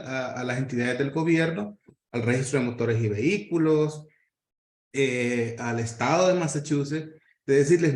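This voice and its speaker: A man speaks earnestly on a video call, working through his point with frequent pauses and restarts. His voice sits mid-range and rings in an echoey room. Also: medium pitch. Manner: earnest, halting with fillers and restarts. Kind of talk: workshop discussion.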